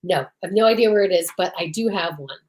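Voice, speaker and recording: A woman's voice: lowish voice, fast, a little mellow. A woman co-hosting an old-time radio podcast, chatting casually and introducing the show in a gushing, theatrical way.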